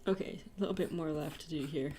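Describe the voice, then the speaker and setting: A woman's voice: low voice, fast talker, dark tone, slight background noise. A woman chatting casually and playfully while livestreaming her artwork. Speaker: digital artist livestreaming.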